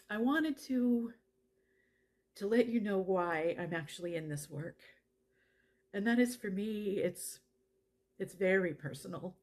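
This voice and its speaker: An earnest middle-aged woman played by an actress gives a personal testimonial. She speaks deliberately, pausing for emphasis, at a medium pitch, in a dramatized monologue about her work. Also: close to an everyday voice. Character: earnest woman who helps domestic violence victims. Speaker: actor in a dramatized narrative. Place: living room at home.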